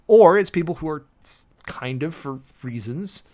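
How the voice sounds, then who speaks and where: An American man giving informal live commentary in an emphatic way, with dramatic stresses and deliberate pauses. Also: on the high side, expressive, a little mellow. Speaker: preacher and livestream commentator.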